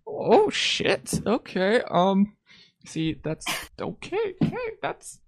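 A woman's voice: mid-range voice, wildly swooping pitch, slow pace, a little hiss or hum. A woman hosting a live stream, chatting casually with a guest, teasing and swearing often. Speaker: streamer host.

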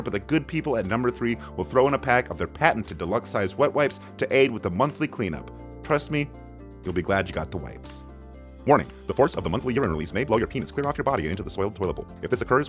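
A man voicing a pushy male announcer in a parody ad for a made-up product, with a hard sales pitch and rushed fine print. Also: close to an everyday voice. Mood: rushed disclaimer. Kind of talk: parody radio ad read.

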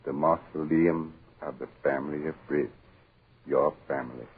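An actor playing a sinister, mysterious chauffeur, cold and relentless, speaking in slow, terse, ominous commands in 1940s American radio horror style. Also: male voice, a bass voice, fairly even intonation, gravelly. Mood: solemn, menacing. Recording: muffled, noisy old 1940s radio recording.

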